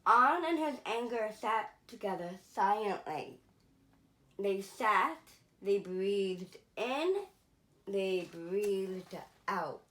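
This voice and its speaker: A woman reading a children's story aloud in a deliberate, measured way, with pauses between phrases. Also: medium pitch, lively intonation, bright, forceful tone, echoey room.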